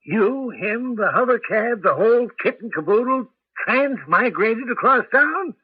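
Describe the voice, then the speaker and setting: A man's voice: extremely high pitch, lively intonation, a little slow, slightly rough, no room sound, exaggerated voice. A man acting in a 1980s radio drama as an anxious, grumbling old grandfather with a hesitant, querulous delivery. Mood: bewildered, doubtful. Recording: muffled old radio broadcast.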